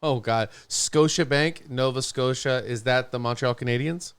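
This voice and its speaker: A man's voice: mid-range voice, normal speed, a bit bright, clear, resonant tone, no echo. A man on a podcast in casual banter with friends, blunt and joking as he plays along.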